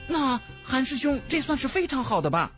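Man acting a highly animated, sharp-tongued young woman student. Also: a little fast, soft background music, heavily acted. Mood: admiring.

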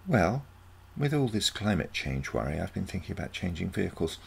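A man's voice: low voice, very animated, brisk, vocal fry, breathy edge, heavily acted. A man voicing a wry, sarcastic, skeptical grandmother in a short story reading. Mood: questioning, skeptical.

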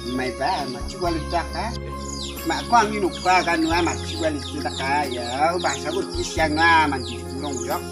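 A man's voice: fairly high pitch, moderate pace. A young man in a casual acted comedy skit, recorded outdoors by a camera a few steps away, answering with frequent murmurs. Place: outdoors in a village yard. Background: background music.

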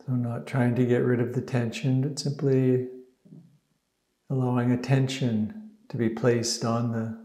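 A man teaches guided meditation with a dark, relaxed voice. His delivery is very slow and soothing, with little rise or fall in pitch and gentle pauses between phrases. The sound is echoey.

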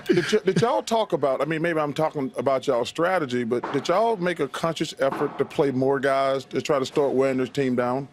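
Blunt middle-aged studio analyst. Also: male voice, mid-range voice, a little fast, hardly any pauses, slight background noise. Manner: blunt, emphatic, repeats himself. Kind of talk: sports studio banter. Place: arena studio set. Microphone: handheld mic.

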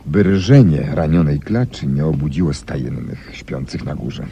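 An actor playing a brilliant, self-assured detective, explaining deductions theatrically with dramatic pauses in a radio drama. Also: male voice, a bass voice, expressive, creaky, mellow, husky, highs cut off, heavily acted. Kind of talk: 1970s Polish radio drama acting. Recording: noisy 1970s radio archive recording.

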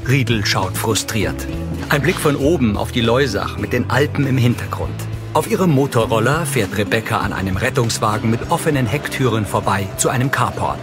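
A man's voice: medium pitch, animated, moderate pace, over music. A man reading scripted audio description narration in a neutral, matter-of-fact way. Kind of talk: scripted present-tense narration.

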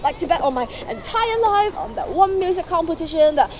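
A young woman acting a hurt, defensive former songwriting partner in a live play, speaking haltingly and emotionally. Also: very high-pitched, expressive, a little mellow, some chatter behind. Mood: pleading, upset. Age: young adult. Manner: halting, emotional, repeating herself. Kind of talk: live theatre dialogue. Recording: very noisy outdoor location recording.

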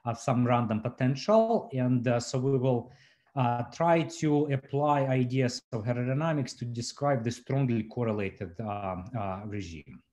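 A man's voice: mid-range voice, a little slow, dark tone, lo-fi sound. Guy giving a physics talk on a laptop call, lots of uh and um, restarts a lot. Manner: hesitant, many fillers and restarts. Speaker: physics lecturer. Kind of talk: academic seminar talk over video call. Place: home room indoors. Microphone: laptop webcam on video call.

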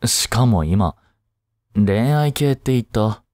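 A man playing an aloof young boyfriend in an intimate voice drama, grumpy but soft-hearted, speaking haltingly close to the mic. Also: lowish voice, animated, no room sound. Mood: curt, dismissive. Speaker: amateur voice drama creator and actor.

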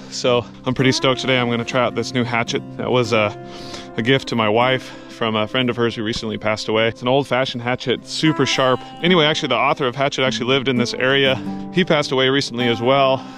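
A man in his thirties vlogging casually to a handheld camera outdoors in the snow, talking in an easygoing, upbeat way. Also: mid-range voice. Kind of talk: casual vlog talk to camera. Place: outdoors on a frozen lake in snowy woods. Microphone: camera held at arm's length. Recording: background music under the voice.

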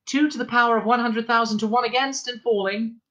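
Man putting on a high, pleasant female announcer. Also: fairly even intonation, sharp tone, muffled recording. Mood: matter-of-fact. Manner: sensible, soothing, measured announcement. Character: calm, pleasant female ship's announcer, stewardess-like.

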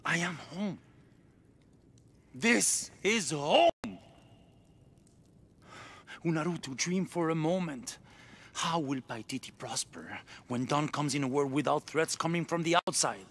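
An actor playing a proud, driven middle-aged cult leader in a video game cutscene, intense and grandiose, moving between hushed and declamatory. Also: male voice, on the high side, expressive, unhurried, husky, heavily acted. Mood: firm, restrained.

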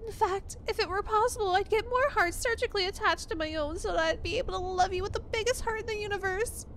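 A woman's voice: extremely high pitch, fast talker, a bit bright, a little hiss or hum. A woman playing a clingy, obsessive young girlfriend in an ASMR roleplay, sweet, gushing and emotional, with an apologetic, hesitant way of talking. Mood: earnest, clingy.

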